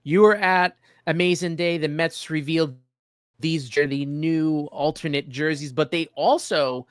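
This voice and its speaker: A man hosting a casual sports interview, speaking conversationally with somewhat halting phrasing. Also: a little high, steady tone, clean tone, no echo, studio quality. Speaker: sports show host, interviewer.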